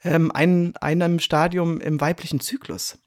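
A woman's voice: sits low, steady tone, measured pace, no room sound, clean studio sound. A woman radio drama director answering interview questions in an informal, conversational way. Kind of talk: interview answers, casual storytelling.

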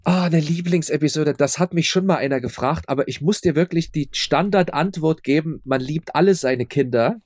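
A man answering casually as an interview guest, explaining haltingly and often repeating his words. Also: on the high side, a little mellow, dry recording, studio quality. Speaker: audio drama maker being interviewed.

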